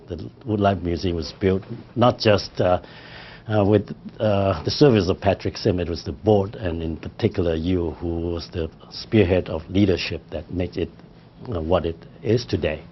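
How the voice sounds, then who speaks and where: A man's voice: low voice, muffled recording, a little hiss or hum. An older man from Hong Kong whose first language is Cantonese, speaking formally in an oral history interview with frequent hesitations. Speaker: interviewee, librarian.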